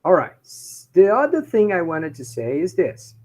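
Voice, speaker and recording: A man's voice: high voice, huge pitch swings, moderate pace. Brazilian man lecturing to a class. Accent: Brazilian Portuguese. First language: Portuguese. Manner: repeats points in Portuguese, checks in with "okay?". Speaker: university professor.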